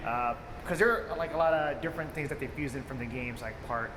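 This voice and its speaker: A man's voice: mid-range voice, fast. A man co-hosting a casual, unscripted movie review, talking in a rambling, informal, enthusiastic fan's way. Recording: echoey reverberant room, clear background noise.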